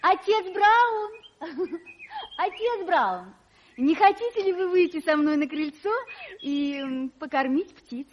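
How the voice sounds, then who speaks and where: A woman's voice: very high voice, expressive, slow, heavily acted. An actress playing a sweet, flustered eighteen-year-old girl, speaking hesitantly in radio drama style. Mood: inviting. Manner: hesitant, girlish. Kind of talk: 1980s Soviet radio drama acting. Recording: vintage radio recording with hiss, birdsong.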